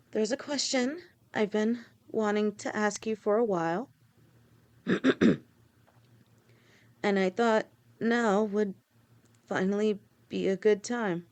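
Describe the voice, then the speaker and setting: A woman voicing a devoted young lamia woman with a snake tail, speaking softly to her partner in an audio roleplay, halting and sometimes stammering. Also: mid-range voice, lightly acted. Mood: nervous, tender. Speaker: girlfriend in a listener roleplay.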